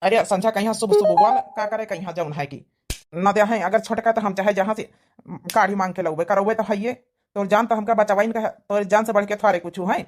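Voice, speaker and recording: Woman playing village labourer husband, Awadhi accent, humble, earnest, middle pitch, cartoon comedy. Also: fairly even intonation, fairly quick, darkish tone, close to an everyday voice. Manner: earnest, pleading.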